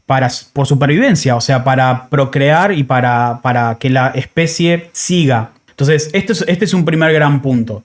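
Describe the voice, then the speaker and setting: Argentine man hosting a casual podcast. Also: a little high. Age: 30s. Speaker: podcast host. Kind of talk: casual conversational podcast talk.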